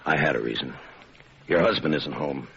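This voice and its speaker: An actor playing a terse, deadpan American homicide lieutenant in an old radio noir drama. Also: male voice, rumbling low, normal speed, creaky, heavily acted. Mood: cool, terse. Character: hard-boiled laconic homicide police lieutenant. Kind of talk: 1940s-50s radio noir acting and narration. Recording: muffled, noisy old radio recording.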